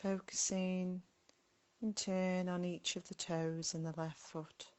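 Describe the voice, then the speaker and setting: A woman guiding a meditation in a gentle, unhurried manner, speaking in short phrases with long pauses between them. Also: on the low side, flat delivery. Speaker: meditation guide. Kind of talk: guided body scan meditation.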